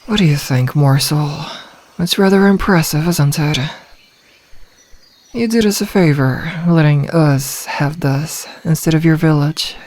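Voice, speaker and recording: A woman's voice: low voice, unhurried, darkish tone. A woman voicing a tender, protective snake woman in a fantasy ASMR roleplay, coaxing and soothing, with a sly streak toward prey. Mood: teasing, sly. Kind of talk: ASMR roleplay, scripted fantasy audio drama. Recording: long reverberant hall-like echo.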